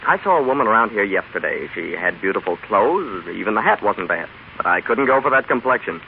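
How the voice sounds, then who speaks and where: A man's voice: lowish voice, very animated, fairly quick, vocal fry. An actor playing a blunt, slangy, skeptical studio publicity man in an old radio advertisement. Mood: blunt, wry. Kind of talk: scripted 1930s radio advertisement. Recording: tinny, hissy old radio recording.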